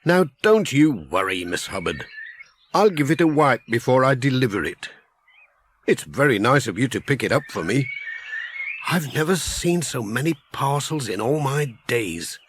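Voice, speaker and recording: A man's voice: mid-range voice, sing-song, normal speed, slight background noise, close to an everyday voice. A man voicing a friendly, overworked village postman in a children's audiobook, with a Northern English accent and a folksy, grumbling manner. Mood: friendly, flustered.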